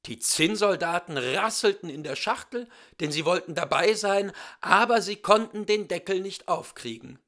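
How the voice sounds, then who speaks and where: A man reading a fairy tale as a storyteller, expressive and theatrical, with careful enunciation. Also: sits high, normal speed, few pauses.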